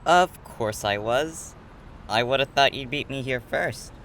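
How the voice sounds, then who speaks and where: A man's voice: mid-range voice, measured pace, fairly bright tone, a little hiss or hum. A man playing a dry, introverted but fond young man in an audio drama, with understated teasing. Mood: wry.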